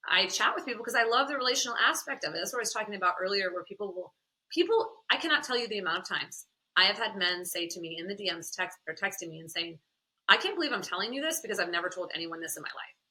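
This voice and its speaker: Woman podcast guest, smoky voice, quick talker, very bright tone, chatty and laughing. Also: mid-range voice, rough recording. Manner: chatty, laughs easily, animated. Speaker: podcast guest, content creator and confidence coach. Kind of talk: candid conversational interview.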